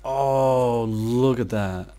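A man's voice: medium pitch, drawn-out words, mellow. A man giving casual gameplay commentary, reacting with exclamations and mild swearing. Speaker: gaming video creator. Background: game music and sound effects.

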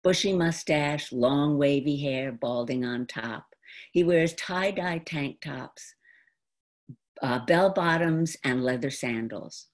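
An American woman in her seventies performing an autobiographical monologue in deliberate, dramatic phrases with pauses. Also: sits low. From New York, United States. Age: 70s. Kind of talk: theatrical autobiographical storytelling monologue. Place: indoor room at home. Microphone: computer mic on a video call.

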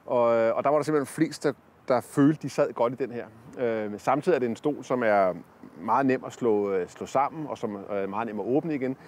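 A man's voice: medium pitch, a little mellow. Hesitant man reviewing outdoors. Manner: hesitant, many fillers and restarts. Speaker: product tester. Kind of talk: casual product review. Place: campsite lawn outdoors. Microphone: lapel mic.

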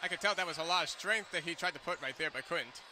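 High-voiced male basketball commentator, noisy arena. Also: fast. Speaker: radio color commentator. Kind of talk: live basketball color commentary. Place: indoor college basketball arena. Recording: noisy indoor arena broadcast.

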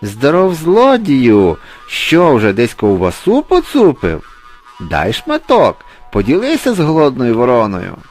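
A man's voice: mid-range voice, wildly swooping pitch, a little slow, soft background music, pitched above the performer's own voice. A man voicing a cranky, hungry female crow who squawks and scolds in a children's storybook tale. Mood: teasing, demanding.

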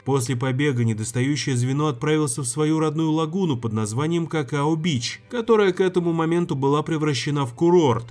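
A man's voice: fairly high pitch, fairly quick, hardly any pauses, darkish tone. A man narrating a scripted explainer with playful, wry phrasing. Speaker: video narrator. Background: background music.

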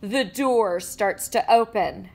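A woman reading a children's picture book aloud with animated storytelling and playful sound effects. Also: medium pitch, takes it slowly, gravelly. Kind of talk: expressive read-aloud. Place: indoors at a table with a book.

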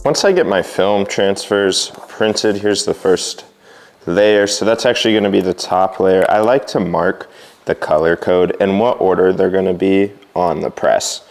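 A young man with a lowish voice walks through his screen printing prep in a casual vlog. He talks easily and explains each step as he goes, clipped with a lapel mic in a large workshop. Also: unhurried, darkish tone, soft background music. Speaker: screen printing shop owner. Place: large workshop with wooden floors.

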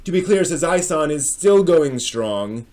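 A man's voice: a little high, lively intonation, measured pace, darkish tone. A man in his forties hosting a dramatic reading with casual commentary, speaking in an emphatic, theatrical manner at home. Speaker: host. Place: small furnished room at home with bookshelves.

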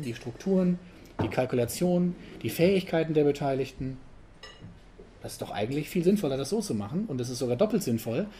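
A middle-aged man giving a casual, conversational talk to an audience at an event, often ending points with a quick tag question. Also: mid-range voice, slight background noise. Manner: conversational, frequent tag questions, animated emphasis. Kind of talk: informal conference talk.

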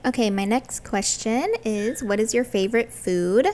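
A woman's voice: on the high side, normal speed, darkish tone, slight background noise. A woman in her thirties from Florida, chatting cheerfully through a cleaning vlog and answering viewer questions, filmed on a phone in her home bathroom. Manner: cheerful, chatty, laughs often. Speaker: vlogger. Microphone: phone camera across the room.

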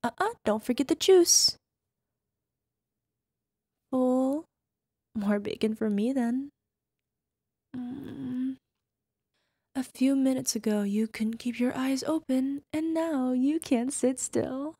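Woman acting as playful affectionate wife, ASMR roleplay, flirty, breathy asides, touch of fry, close dry sound. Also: high voice, takes it slowly, pauses often, warm tone, no room sound, heavily acted. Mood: mock-indignant, teasing. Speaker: voice actor.

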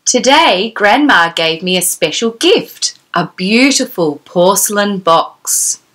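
A woman's voice: mid-range voice, animated, slow pace, a bit bright. A woman in her thirties presenting a children's storytime, reading aloud in an exclamatory, sing-song way and putting questions to the young listener. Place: small decorated room indoors.

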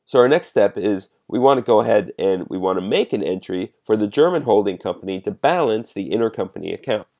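A man with a fairly low voice narrates a software demonstration. He explains each step in a measured, instructional way, like a presenter guiding users through a program. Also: expressive.

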